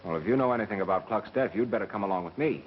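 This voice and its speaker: An actor playing a clever, dry, self-assured amateur sleuth with wry retorts, in a 1930s mystery film. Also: male voice, on the low side, lightly acted. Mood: wry, probing. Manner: wry, brisk deductive retorts. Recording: muffled, hissy 1930s film soundtrack.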